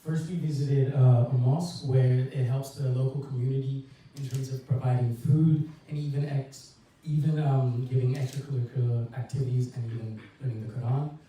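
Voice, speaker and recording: A man's voice: medium pitch, steady tone, moderate pace, dark tone, slight background noise. A teenage boy from Italy and Australia presenting at a school showcase, hesitant, with many fillers. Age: teens. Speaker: student presenter. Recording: echoey room, mic far off.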